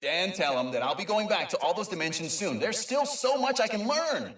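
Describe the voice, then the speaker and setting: A man's voice: sits high, sing-song, brisk, a bit bright, echoey room, distant mic. A man voicing an eager, nerdy, kind creature inventor who rambles and stammers through an informal video game audio log. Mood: giddy, excited. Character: eager, nerdy, kind male Lombax inventor and dimension mapper.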